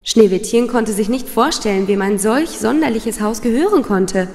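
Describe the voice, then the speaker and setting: A woman's voice: fairly high pitch, moderate pace, darkish tone. A woman reading a scripted children's fairy tale as its storyteller. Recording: long reverb, music behind.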